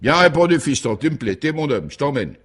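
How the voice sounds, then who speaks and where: A man's voice: mid-range voice, very animated, fairly quick, fairly bright tone, husky, voice pushed up. A man voicing a brash, fervent male adventurer in a radio story, hearty, bossy and colloquial.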